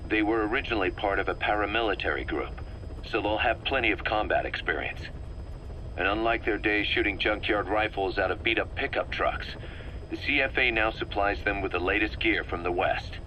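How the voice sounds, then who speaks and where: An actor playing a male mercenary commander who gives a brisk, direct briefing full of blunt warnings. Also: low-pitched, pushed and bright, slight background noise, poor audio. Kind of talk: video game voice acting.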